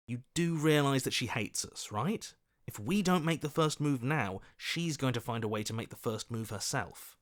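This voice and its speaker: A man's voice: a little high, bright, forceful tone, full character voice. A man voicing a scheming, self-serving opportunist in a video game, with a sly, ingratiating, theatrical manner. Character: scheming, self-serving, weaselly opportunist voice inside the hero's head.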